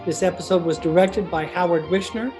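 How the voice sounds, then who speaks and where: A man's voice: sits high, fairly even intonation, a little fast, low-quality recording. A male announcer reading scripted closing credits for a radio comedy. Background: guitar music.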